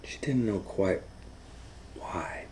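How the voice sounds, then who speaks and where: A man reading a children's story aloud as host, dramatically, moving between hushed and loud. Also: low voice, animated, slow pace, whispered. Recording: faint background noise.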